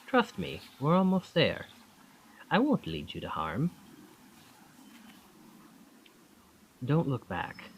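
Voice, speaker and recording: A man's voice: a little high, darkish tone, highs cut off, a little hiss or hum, exaggerated voice. A man playing a charming young college student who is secretly a possessive, otherworldly captor, in an intimate, coaxing ASMR roleplay. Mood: insistent, eerie.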